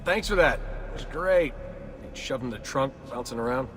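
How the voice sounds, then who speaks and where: An actor playing a sardonic, reluctant young hero in a video game, talking casually. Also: male voice, medium pitch, animated, moderate pace, fairly bright tone, slight background noise, natural voice. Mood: wry. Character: wry, reluctant young male hero on the run. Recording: long reverb, music behind.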